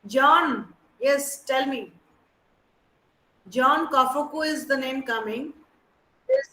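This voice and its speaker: A woman's voice: sits high, slow, sharp tone, echoey room, low-quality recording. A woman academic counselor calling on students in an online class, with hesitant fillers, on a video call. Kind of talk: leading an online class introduction.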